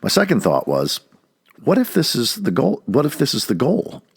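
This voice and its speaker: Low, expressive, husky man reading aloud. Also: dry recording, clean studio sound. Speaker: audiobook-style essay reader. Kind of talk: expressive read-aloud of an essay.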